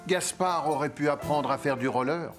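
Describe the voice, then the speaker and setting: Un narrateur de dessin animé enjoué. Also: male voice, on the high side, normal speed. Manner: lively, playful storytelling. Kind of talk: storybook cartoon narration. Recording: music underneath.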